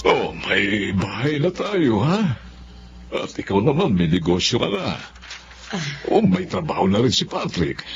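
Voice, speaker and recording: A middle-aged Filipino father in a family drama, caring and wistful. His lowish, gravelly voice moves expressively over slightly muffled audio. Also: very animated, unhurried, a little hiss or hum. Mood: concerned, wistful.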